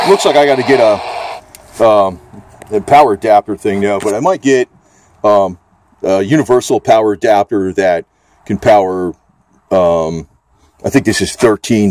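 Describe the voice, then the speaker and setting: A man's voice: fairly low pitch, darkish tone, no room sound. A man giving a casual, off-the-cuff product demonstration, talking in a rambling, hesitant, folksy way. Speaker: gadget reviewer.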